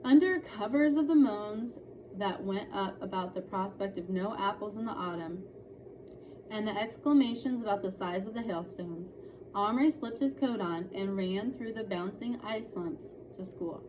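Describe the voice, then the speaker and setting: A woman reads a children's story aloud as narrator. Her pitch is medium, and there is clear noise in the recording. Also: normal speed. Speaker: reader of a children's novel. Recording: clearly noisy room recording.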